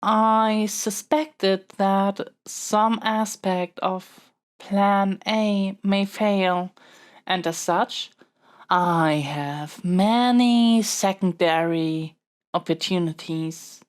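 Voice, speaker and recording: Woman playing a slow-spoken, pampered young princess. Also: medium pitch. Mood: flustered defiance. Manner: formal, wordy, haughty yet flustered pleading. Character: pampered young royal heir, earnest, smitten with dragon kidnapper. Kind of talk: scripted solo audio roleplay.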